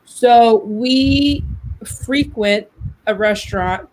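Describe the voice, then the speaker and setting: A woman's voice: fairly high pitch, drawn-out words, a little mellow, low-quality recording. An American woman from New Mexico co-hosting a show in casual chat, speaking in a candid, outspoken, informal way. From New Mexico, USA. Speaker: co-host of a business show.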